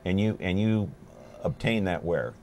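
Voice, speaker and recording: Man, 50s, talk show host, deep voice, upbeat chatty manner, studio lapel mic. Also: lively intonation, a little slow, a little mellow, a little hiss or hum. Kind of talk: local TV talk show hosting.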